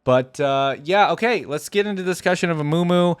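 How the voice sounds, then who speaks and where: A man's voice: a little high, fairly quick, clear, resonant tone, no echo. A man giving casual reaction commentary as a video host, rambling and thinking aloud into a close microphone. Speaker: YouTube host reacting to game characters.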